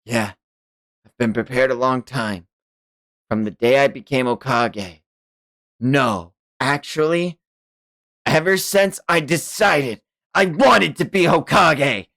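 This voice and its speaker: A man's voice: mid-range voice, expressive, takes it slowly, gravelly, long pauses, fairly bright tone, pitched above the performer's own voice, heavily acted. A man voicing an earnest, determined ninja leader from a comic. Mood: resolute.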